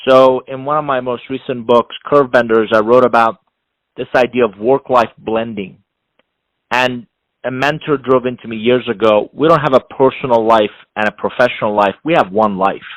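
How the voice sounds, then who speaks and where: A middle-aged man from Iran, a non-native English speaker, giving measured, explanatory answers as an interview guest, often building his points in repeated phrases. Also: medium pitch, fairly even intonation, dry recording, low-quality recording. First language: not English. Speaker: interview guest, executive coach and author.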